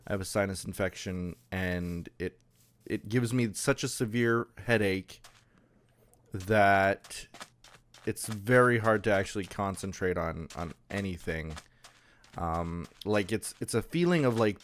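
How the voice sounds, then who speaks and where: Guy w a stuffy blocked nose chatting thru his game build, lowish voice, lots of ums. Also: a little slow, slight background noise. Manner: rambling, hesitant, lots of ums and restarts. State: stuffed up with a sinus infection. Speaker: Minecraft server let's-play host. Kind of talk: casual gameplay commentary.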